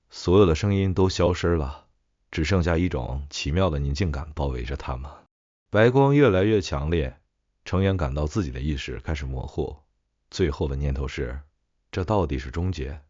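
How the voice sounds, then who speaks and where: Male audiobook narrator for ghost stories: extremely deep voice, dull-sounding track. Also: animated, normal speed, a little mellow, no echo. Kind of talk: scripted horror story narration.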